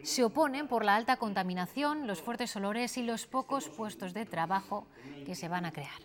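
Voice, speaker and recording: A woman's voice: medium pitch, expressive, moderate pace, a crowd in the background. A woman with a Castilian Spanish accent presenting the news in a scripted, formal reading style.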